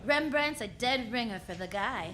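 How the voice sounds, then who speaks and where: A woman's voice: a little high, lively intonation, moderate pace, bright, forceful tone, slight background noise. A woman playing a wry, flirtatious, self-assured private eye in the style of 1940s radio drama, full of slangy quips. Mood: teasing. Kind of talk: 1940s radio drama acting with first-person narration.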